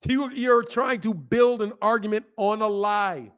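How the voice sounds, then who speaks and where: A man's voice: sits high, unhurried, smooth. A man in his fifties hosting a live boxing talk stream, chatting loosely with viewers, repeating points for emphasis and laughing often. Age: 50s. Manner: animated, talks back to chat, repeats himself for emphasis, laughs often. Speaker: boxing channel host. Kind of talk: casual live-stream chat reading comments.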